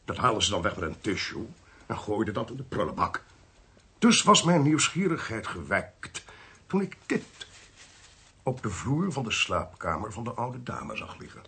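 An actor playing a smug, sly, domineering government investigator in a Dutch radio play, pompous and precise. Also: male voice, lowish voice, sing-song, moderate pace, gravelly, pushed and bright, husky, heavily acted. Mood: intent.

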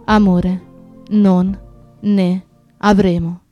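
A woman's voice: mid-range voice, little pitch movement, extremely slow, lo-fi sound, soft background music. A woman playing a comrade who bears witness in a radio drama, in a declamatory, incantatory storytelling manner. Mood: nostalgic, fervent. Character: woman comrade bearing witness, tender yet accusing storyteller. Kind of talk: dramatic radio theatre narration.

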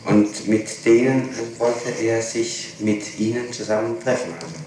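A man conducting an interrogation, methodically and haltingly checking and restating details. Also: medium pitch, flat delivery, darkish tone, a little hiss or hum. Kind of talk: questioning, restating a statement. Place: echoing room, archival tape recording. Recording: echoey old interrogation tape, room murmur.

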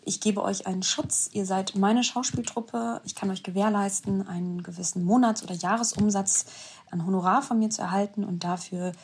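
A woman's voice: medium pitch, steady tone, normal speed, a little hiss or hum. A woman speaking spontaneously as an expert interviewee, explaining things in a conversational, emphatic way.

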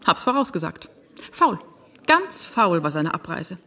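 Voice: mid-range voice, very animated, a little slow, pushed and bright, exaggerated voice. An actor playing a smug, grumbling sanatorium know-it-all in a German radio play.

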